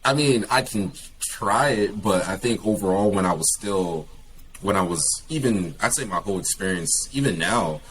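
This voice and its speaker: A young man from California with a very low voice talks in a relaxed, halting way, full of ums and restarts. Faint noise sits behind him. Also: low-quality recording. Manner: hesitant, many fillers and restarts. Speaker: guest, English teacher. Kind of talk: casual interview talk.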